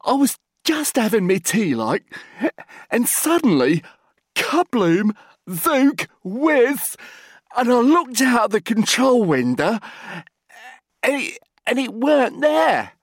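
High, husky, hapless British underling. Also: male voice, big pitch swings, slow, dry recording, studio quality, full character voice. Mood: bewildered, apologetic. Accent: British working-class. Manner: hesitant, chatty, colloquial, deferential. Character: hapless, deferential British rocket scientist, humble working chap. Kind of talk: comic storybook character voice.

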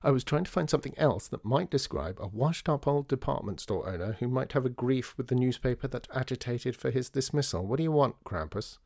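A man voicing a sharp-tongued female newspaper columnist who talks in quick, cutting, fussy phrases. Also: mid-range voice, expressive, vocal fry, no room sound, clean studio sound, pitched above the performer's own voice. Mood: acerbic.

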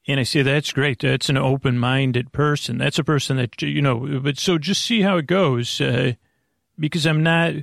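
Creaky-voiced man rambling at bedtime. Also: medium pitch, dry recording, studio quality. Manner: meandering, halting, self-deprecating. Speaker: host of a bedtime sleep podcast. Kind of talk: rambling, off-topic bedtime monologue.

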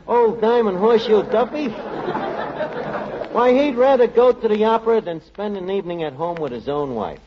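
An actor playing a wisecracking, scheming tavern manager, in a 1940s radio comedy, talking brash and slangy. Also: male voice, high-pitched, animated, normal speed, crowd noise, noisy background. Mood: sly. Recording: lo-fi old radio broadcast.

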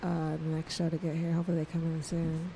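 A slightly raspy young woman with plenty of vocal fry co-hosts a relaxed podcast conversation. She is gossipy and agreeable, often repeating phrases for emphasis, with faint noise in the background. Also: deep voice, fast, low-quality recording. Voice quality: a little raspy. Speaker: podcast co-host. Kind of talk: casual chat.

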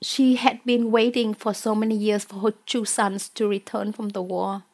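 A woman's voice: mid-range voice, little pitch movement, moderate pace, darkish tone. A woman with a Vietnamese accent, an author and poet talking in an interview, hesitant with many fillers and restarts. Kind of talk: conversational interview.

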